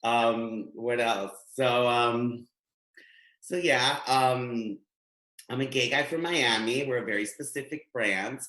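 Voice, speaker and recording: A man in his thirties from Guatemala, doing a chatty, animated stand-up set over a webcam from his home. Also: mid-range voice, slow. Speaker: stand-up comedian. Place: home room. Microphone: webcam, video call. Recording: echoey home room.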